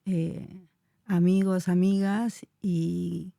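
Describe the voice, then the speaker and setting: Slow, soft-spoken woman from Chile. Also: lowish voice, flat delivery, gravelly, dry recording, clean studio sound. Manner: searching, hesitant, many fillers, soft laughs. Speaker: dance teacher and movement researcher. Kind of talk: thoughtful interview answers.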